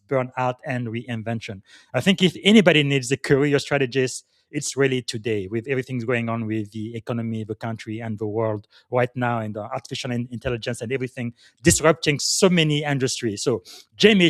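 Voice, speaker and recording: A man who grew up in the Congo hosting a podcast interview, talking with frequent filler pauses and enthusiastic introductions. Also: medium pitch, dry recording, studio quality. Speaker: podcast host.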